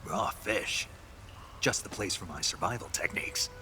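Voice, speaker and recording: An actor playing a gruff, terse soldier spy in a video game, with a gravelly, dry American delivery. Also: male voice, low voice, lively intonation, moderate pace. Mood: wry. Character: gruff laconic male soldier spy on a survival mission. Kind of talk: video game cutscene acting. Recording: noisy ambient background.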